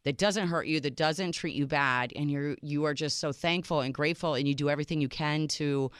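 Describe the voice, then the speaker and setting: A woman from Minnesota whose first language is Serbian, co-hosting a radio show in quick, upbeat casual talk. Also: sits low, clean tone, no room sound, clean studio sound.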